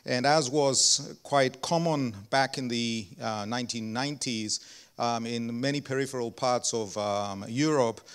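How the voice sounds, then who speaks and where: Hesitant man with a smooth voice. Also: medium pitch, unhurried. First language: not English (non-native speaker). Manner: many ums and uhs, self-deprecating humor. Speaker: research center director giving opening remarks. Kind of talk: hesitant opening speech at an anniversary event.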